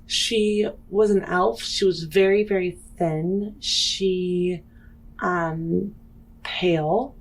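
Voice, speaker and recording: A woman playing a tabletop roleplaying game, speaking in character in a deliberate, earnest, questioning way. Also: lowish voice, fairly even intonation, slightly rough, poor audio.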